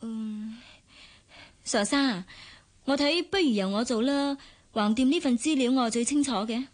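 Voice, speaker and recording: Modest young Hong Kong office woman, acted, high-voiced. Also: unhurried, close to an everyday voice. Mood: earnest, deferential. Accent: Hong Kong Cantonese. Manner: polite, demure, reserved. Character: modest, diligent, guarded young female office worker. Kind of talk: Cantonese radio drama acting.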